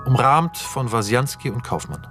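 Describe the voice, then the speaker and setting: A man's voice: medium pitch, very animated, normal speed, over music, natural voice. A man narrating scene and camera directions in a radio play, detached and scripted. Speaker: narrator reading screenplay directions.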